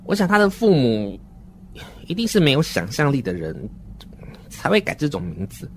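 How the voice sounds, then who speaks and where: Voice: mid-range voice, big pitch swings, normal speed, a little hiss or hum. An adult performer voicing a naive, uneasy, wordy seven-year-old child who narrates a horror radio drama in an earnest, dramatic way. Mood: earnest, indignant.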